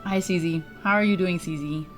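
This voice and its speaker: A woman's voice: fairly low pitch, brisk, faint music behind. A woman chatting casually on a gaming livestream, with playful, rambling commentary.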